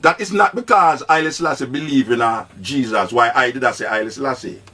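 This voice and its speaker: A man's voice: medium pitch, brisk, sharp tone, husky. A man with a Jamaican accent hosting an unscripted radio call-in debate in Patois, insistently repeating his questions and phrases.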